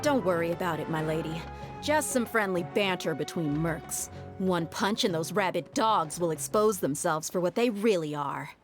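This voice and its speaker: A woman's voice: fairly low pitch, expressive, normal speed, music in the background, natural voice. An actress playing a tough, cocky but loyal female mercenary bodyguard in an anime game, talking with casual swagger. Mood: confident.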